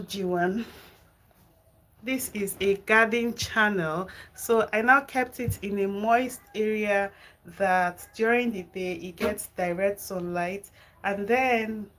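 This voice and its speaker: A woman's voice: medium pitch, a bit bright. A Nigerian woman hosting a gardening live stream, chatting casually with viewers and answering their comments. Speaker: gardening channel host. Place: home garden and kitchen.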